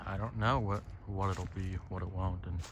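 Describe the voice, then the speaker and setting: A man's voice: deep voice, steady tone, normal speed. A man giving a casual, rambling, reflective monologue, musing and swearing freely as he goes. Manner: musing, profane, often tags phrases with "right?". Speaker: solo podcaster. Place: outdoors on a lakeside trail. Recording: outdoor ambient noise, birds. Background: outdoor ambience with birds.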